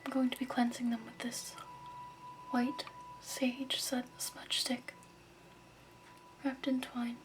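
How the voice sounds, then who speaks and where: A woman whispering softly and breathily in a gentle, measured ASMR roleplay as a shopkeeper. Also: on the high side, completely flat delivery. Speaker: ASMR roleplay host as crystal shop keeper.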